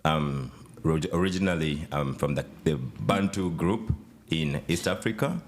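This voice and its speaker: A man in his thirties with a Ugandan accent, his voice sitting extremely low. He talks as a podcast guest, relaxed and unhurried, pausing often on "uh" and "um" as he looks for words. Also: a little hiss or hum. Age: 30s. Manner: relaxed, hesitant with many fillers. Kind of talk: casual interview chat.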